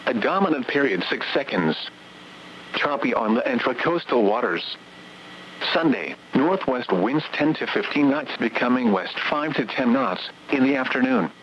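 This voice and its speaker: A man's synthetic voice reading a scripted automated weather bulletin in a measured, even delivery over the radio. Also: medium pitch. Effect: radio broadcast. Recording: dull, noisy weather radio broadcast.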